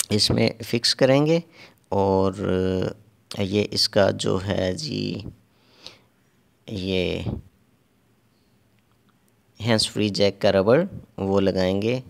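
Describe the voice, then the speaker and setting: Low-pitched, gravelly man explaining repair steps. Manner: halting and repetitive, pausing while working. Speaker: repair technician giving a tutorial. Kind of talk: step-by-step hands-on tutorial narration.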